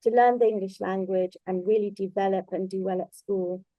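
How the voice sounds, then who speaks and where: A middle-aged or older native Bengali-speaking woman giving a reflective, conversational talk, pausing and feeling her way through her thoughts. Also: mid-range voice, steady tone, no echo, muffled recording. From Bangladesh in early childhood, then moved abroad. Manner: hesitant, thoughtful, halting phrasing. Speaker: invited speaker at a book launch.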